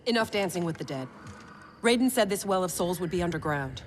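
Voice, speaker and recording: A woman's voice: fairly low pitch, lively intonation, a little fast, slight background noise, close to an everyday voice. A woman playing a cocky, tough young soldier in a video game, delivering lines in a dry, sardonic way. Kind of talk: video game cutscene acting.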